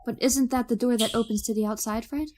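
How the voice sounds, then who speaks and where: An actress playing a frightened, gentle sweetheart in an old-time radio thriller. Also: female voice, on the high side, fairly even intonation, fast talker, background noise, lightly acted. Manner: soft-hearted, anxious questioning. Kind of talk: 1930s-style radio thriller acting.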